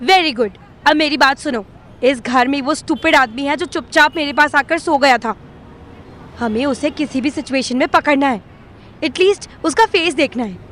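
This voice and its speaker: A woman's voice: high voice, normal speed, fairly bright tone, slight background noise. A woman playing a feisty, sarcastic young woman in an audio drama, exasperated, with an Indian accent and English mixed in. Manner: sarcastic, mixes English into Hindi. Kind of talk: Hindi audio drama acting. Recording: music behind the voice.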